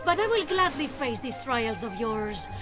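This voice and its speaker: Game lead: cocky young female swashbuckler, high voice, lively swings, playful bravado. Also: measured pace, over music. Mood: cocky, taunting. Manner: self-assured, teasing, playfully dramatic. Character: cocky, daring young swashbuckling swordswoman heroine. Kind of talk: lively video game cutscene acting.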